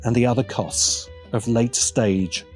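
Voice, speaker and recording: A man narrating a scripted video essay as its host, with sardonic, emphatic and deliberate phrasing. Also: mid-range voice, a little mellow. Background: faint background music.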